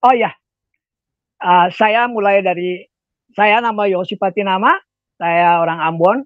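High-voiced older man, lo-fi call. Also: fairly even intonation, measured pace, no echo. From Jakarta, Indonesia. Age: 60s or older. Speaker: guest giving a testimony, lay street evangelist. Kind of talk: personal testimony. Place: room at home, indoors. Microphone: wired earphones on a video call. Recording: dull lo-fi video call.

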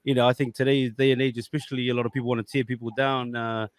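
A man from New Zealand hosting a casual podcast into a big studio mic. His voice is at an ordinary pitch and dry, with no room sound. He talks in an easygoing, affectionate way and keeps calling his guest brother. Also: level tone, quick pace, no echo. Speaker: podcast host. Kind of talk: casual chat. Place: home studio. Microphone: large studio mic with headphones.

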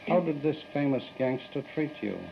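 A man's voice: fairly high pitch, moderate pace, vocal fry. A man putting formal, stilted questions as an interviewer on a muffled, noisy 1930s newsreel soundtrack.

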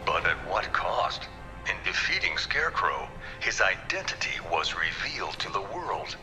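An actor playing a grave journalist who narrates a museum tour in a solemn, portentous, dramatic style. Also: male voice, low-pitched, very animated, moderate pace, sharp tone, exaggerated voice. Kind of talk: dramatic scripted exhibit narration. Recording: reverberant exhibit speakers with music. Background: music.

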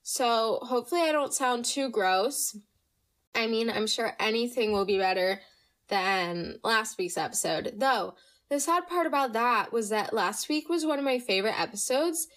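Young woman hosting her podcast, bit nasal from allergies, chatty and animated, kinda high voice. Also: normal speed. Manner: chatty, animated, reads listener stories aloud. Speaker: podcast host. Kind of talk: casual storytime chat.

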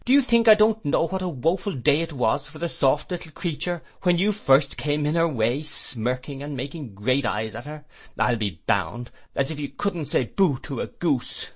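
A man's voice: a little high, lively intonation, moderate pace, pitched above the performer's own voice. A man reading an audiobook, voicing a fierce and outspoken elderly aunt who scolds emphatically. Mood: scornful.

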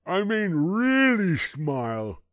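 Storybook role: a man doing a sorrowful toothless grandpa, high and strained, slow and mumbled, in a dry booth. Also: very animated, no echo, exaggerated voice. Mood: suspicious. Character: toothless old grandfather, gloomy and suspicious. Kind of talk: storybook character voice.

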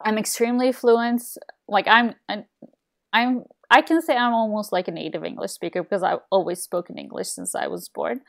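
A young woman from Turkey talks casually on a game stream from a small room at home, close to a desk mic. She rambles, restarts her sentences and fills the gaps with "um" and "like" at a middling pitch. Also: normal speed, vocal fry. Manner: rambling, many fillers and restarts. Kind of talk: casual chat while gaming.

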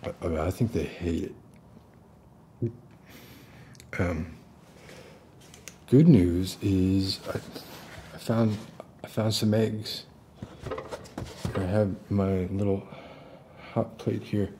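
A man in his thirties doing an ASMR roleplay as an unstable, friendly loner, soft-spoken and rambling in halting mutters. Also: low-pitched, fairly even intonation, slight background noise. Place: basement-like room with concrete walls.

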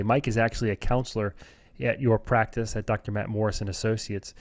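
A man co-hosting a podcast, introducing the guests in an earnest, measured way with frequent fillers. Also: on the low side, a little mellow, no echo, studio quality. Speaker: podcast co-host.

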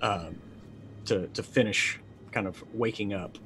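Man in his forties, lowish voice, halting improvised character description, faint background noise. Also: a bit bright, poor audio. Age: 40s. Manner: halting, thinking aloud. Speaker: player in a tabletop game.

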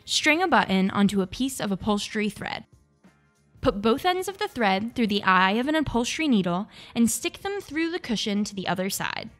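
A woman's voice: medium pitch, big pitch swings, normal speed. A young woman hosting a craft tutorial, reading scripted step-by-step instructions. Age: young adult. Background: background music.

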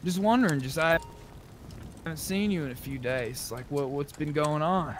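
A man's voice: on the high side, moderate pace. A man chatting casually with other players during an online roleplay game. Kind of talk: casual gaming chat with in-character roleplay. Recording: faint background noise, game ambience.

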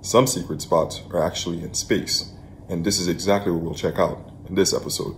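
A man's voice: deep voice, fairly even intonation, a little fast, slight background noise, low-quality recording. A man in his thirties from Haiti, hosting a casual explainer vlog in a conversational way. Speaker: host, app co-founder.

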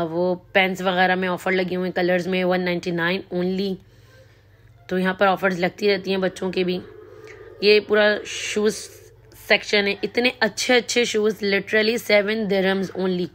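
A chatty woman vlogging as she walks through a large supermarket, her voice sitting in an ordinary middle range. She talks casually and drops in prices as she goes. Her phone picks up a faint hum of the store around her. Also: medium pitch. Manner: chatty, mixes English words and prices into her talk. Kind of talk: casual shopping vlog narration.

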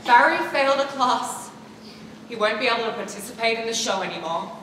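A teenage boy acting a firm male teacher who directs a high school musical. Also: animated, brisk. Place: large school theatre stage, far camera. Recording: echoey auditorium from far off, noisy.

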